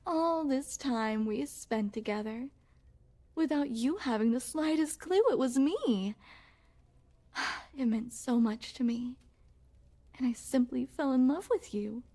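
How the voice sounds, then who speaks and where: A woman's voice: high voice, slow pace, long pauses, warm tone, heavily acted. A woman playing a possessive, secretly shapeshifting queen in an ASMR roleplay, speaking formally and regally with doting menace. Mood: tender, lovestruck. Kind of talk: ASMR roleplay, scripted character acting addressed to the listener.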